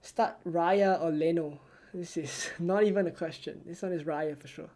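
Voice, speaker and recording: A streamer from Singapore, answering viewer questions in a live stream with a soft, low-key, almost ASMR-like delivery. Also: steady tone, normal speed. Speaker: fantasy football streamer.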